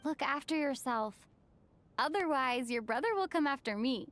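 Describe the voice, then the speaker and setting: Calm young traveler girl in a video game, high pitch, polite, considerate. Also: normal speed. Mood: caring. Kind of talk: video game character dialogue.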